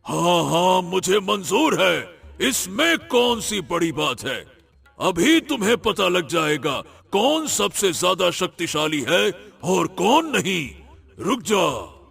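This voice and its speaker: Cartoon demon: gravelly, blustering and gullible, swinging widely in pitch. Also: male voice, big pitch swings, fairly bright tone, exaggerated voice. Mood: cocky. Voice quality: raspy, gravelly. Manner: slow, drawn-out, blustering threats with gloating laughter. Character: boastful, menacing, gullible male demon. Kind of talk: exaggerated Hindi cartoon story acting. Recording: music behind the voice.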